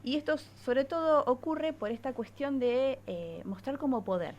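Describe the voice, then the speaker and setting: A woman's voice: high-pitched, measured pace, darkish tone, lo-fi sound. A woman with an Argentine accent, a psychologist columnist explaining things on radio and often checking in with short tag questions.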